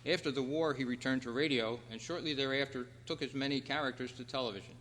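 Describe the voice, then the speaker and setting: A man hosting a trivia quiz at a club meeting, reading out questions in a measured, announcing way. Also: medium pitch, fairly even intonation, a bit bright. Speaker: quiz host. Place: hall at a convention club meeting. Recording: reverberant hall, clear background noise and hum.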